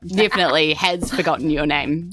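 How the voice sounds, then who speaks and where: A woman's voice: sits low, brisk, fairly bright tone, soft background music, rough recording. A woman with a New Zealand accent narrating a tabletop game as game master in a casual, informal way. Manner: casual, informal, giggly asides. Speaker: game master and podcast host.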